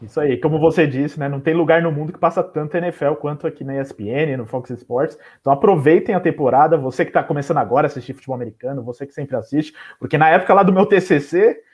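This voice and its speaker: A man's voice: fairly high pitch, quick pace, hardly any pauses, darkish tone, breathy edge. A man hosting a casual interview, friendly and joking back and forth with his guest. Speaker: podcast host. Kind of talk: casual chat.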